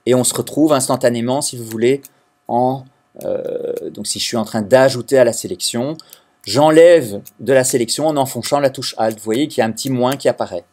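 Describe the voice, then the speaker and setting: A man from Belgium teaching a software class to students, explaining step by step with frequent restarts and hesitations. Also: mid-range voice, fairly quick. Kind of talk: recorded classroom lecture.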